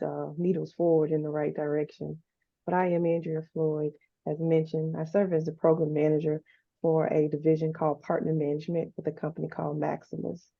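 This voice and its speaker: Woman with flat, gravelly call audio. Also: low voice, no echo. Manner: upbeat, with frequent ums. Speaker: panelist, program manager. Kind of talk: webinar self-introduction. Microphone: video call. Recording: dull, dry call audio.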